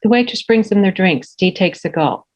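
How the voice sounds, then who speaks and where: A woman's voice: mid-range voice, animated, normal speed, a little mellow. A woman reading stage directions as scripted narration.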